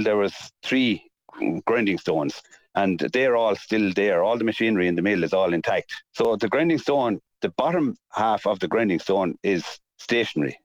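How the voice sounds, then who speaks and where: A man with a deep voice and a south-east Irish accent talks as an interview guest. He explains in halting, measured phrases without a script. The sound is close and dry, if a little dull. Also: no echo. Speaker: mill restorer, interview guest.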